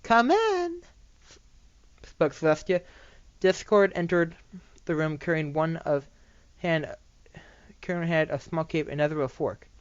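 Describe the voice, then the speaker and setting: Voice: medium pitch, no room sound. An amateur narrator reading fan fiction aloud, often stumbling and repeating phrases. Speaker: story narrator. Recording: dull, dry home recording.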